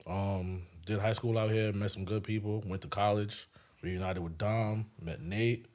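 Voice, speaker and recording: A young man from Haiti whose first language was French, telling his story as a guest in a relaxed, slangy podcast chat. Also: on the low side, unhurried. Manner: laid-back, slangy storytelling. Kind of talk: casual chat.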